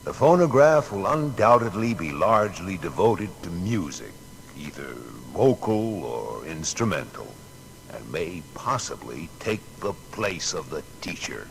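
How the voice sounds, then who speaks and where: An actor playing a proud male inventor recounting his discoveries in a deliberate, declamatory, gravelly voice for an audio biography. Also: low voice, sing-song, a little hiss or hum, full character voice, poor audio. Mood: proudly visionary. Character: Thomas Edison, American inventor recounting his discoveries with pride. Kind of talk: dramatised first-person narration.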